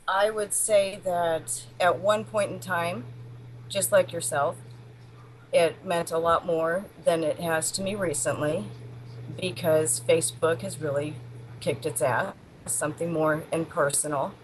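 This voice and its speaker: A middle-aged woman with a distinctly low voice speaks as an interview guest. Her delivery is blunt and matter-of-fact, in a casual personal style, with some faint noise behind her. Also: steady tone, a little slow, rough recording. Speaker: interview guest, palm reader.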